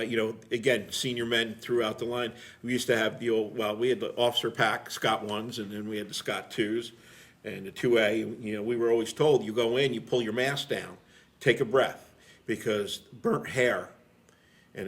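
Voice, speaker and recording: Low-voiced middle-aged man, rambling storyteller. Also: a bit bright. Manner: plain-spoken, rambling, frequent "you know". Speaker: interview guest, veteran firefighter. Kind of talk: casual storytelling interview.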